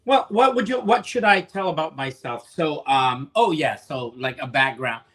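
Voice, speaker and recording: A man's voice: on the high side, expressive, moderate pace, fairly bright tone. A man in his forties from the Philippines, an opera singer chatting as a guest, rambling with lots of restarts and fillers. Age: 40s. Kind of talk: casual chat.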